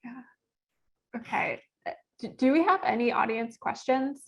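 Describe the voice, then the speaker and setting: A woman's voice: on the high side, unhurried, creaky, breathy edge, poor audio. A woman moderating an informal Q&A, tentative and searching as she shapes her questions. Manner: tentative, searching, self-correcting. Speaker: moderator and poetry reader.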